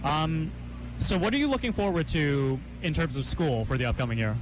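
A young man hosting a live campus radio interview, chatty and quick with asides and laughs. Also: a little high, lively intonation, background noise. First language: Cantonese.

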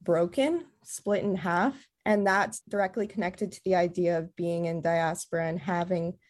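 An earnest woman from Canada talks reflectively as a guest in a casual interview. Her voice is a little low, and she keeps her thoughts moving with frequent "like"s. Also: steady tone, moderate pace. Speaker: theatre artist, interview guest.

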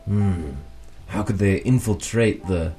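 A man playing a melodramatic private detective in an improvised noir radio drama, with a hammy, halting delivery. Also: fairly low pitch, dark tone, soft background music. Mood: pondering.

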